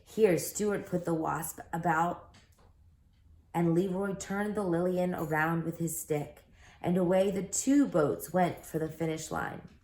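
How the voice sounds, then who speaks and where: A woman reading a children's book aloud as an expressive storyteller, recorded in an echoey room. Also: fairly low pitch, measured pace.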